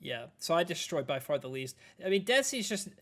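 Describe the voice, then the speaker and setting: Hoarse chatty man streaming a game. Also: fairly high pitch, very animated, brisk, fairly bright tone. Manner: rambling, chatty, answering chat between plays. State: strained, losing his voice. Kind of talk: casual live-stream gameplay commentary.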